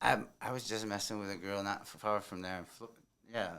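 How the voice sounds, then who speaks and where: A man's voice: on the low side, fairly even intonation, a little fast, heavy background noise. An American man in his forties, a comedian guest trading casual, bawdy banter. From South Florida, United States. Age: 40s.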